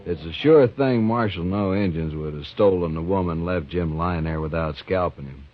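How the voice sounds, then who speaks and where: A man's voice: low voice, moderate pace, noisy background, lightly acted. An actor playing a blunt, impatient frontier townsman who talks plainly. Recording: dull old radio broadcast recording.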